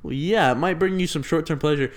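A twenty-year-old man co-hosting a podcast, talking earnestly in casual chat and getting louder when he makes a point. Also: on the high side, fast. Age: 20s. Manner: earnest, rising loud when making a point. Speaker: podcast co-host.

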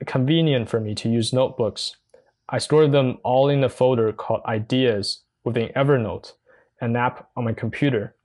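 A young man from China with a Mandarin Chinese accent, a non-native speaker hosting his own podcast, talking earnestly and carefully with frequent pauses and fillers. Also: mid-range voice, a little mellow. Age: young adult. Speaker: podcast host. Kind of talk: solo podcast monologue.

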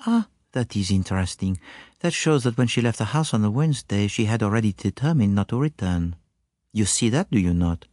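A man voicing a courteous, flattering foreign detective in an audiobook, speaking formally, precisely and coaxingly. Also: on the low side, a little fast, no echo, clean studio sound, pitched above the performer's own voice, natural voice. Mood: probing. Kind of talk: audiobook character voice.

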